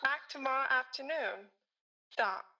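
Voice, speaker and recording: An actress playing a businesslike telegraph clerk in a radio drama, formal and clipped, with mechanical delivery. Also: female voice, high-pitched, measured pace, pushed and bright, lo-fi sound, heavily acted. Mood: brisk, impersonal. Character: impersonal, businesslike telegraph clerk reading messages aloud. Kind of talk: 1940s radio drama acting.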